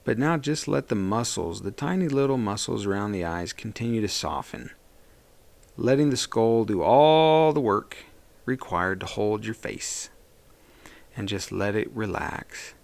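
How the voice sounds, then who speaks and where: A man leading a guided meditation as a therapist, speaking in a gentle, soothing and reassuring way. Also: lowish voice, animated, unhurried, vocal fry.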